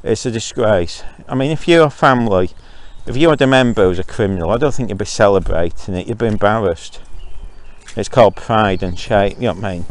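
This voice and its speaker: A man's voice: on the low side, a little fast. A man from Zambia hosting his own podcast, giving his opinions in a rambling monologue with frequent ums and restarts while outdoors walking his dogs. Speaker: podcast host.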